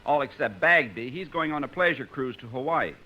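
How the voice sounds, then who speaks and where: A man's voice: medium pitch, lively intonation, fairly quick, lightly acted. An American man playing a dry-witted, cheerful bandleader in 1950s radio comedy, delivering jokes matter-of-factly. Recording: muffled 1950s radio recording.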